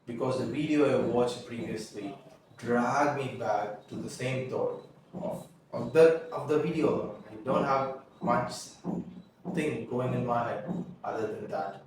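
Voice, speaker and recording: A young man whose first language is Tamil, telling a halting, earnest confessional story and often restarting his words. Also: mid-range voice, noisy background. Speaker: vlogger. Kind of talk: confessional monologue. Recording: echoey room, mic at a distance.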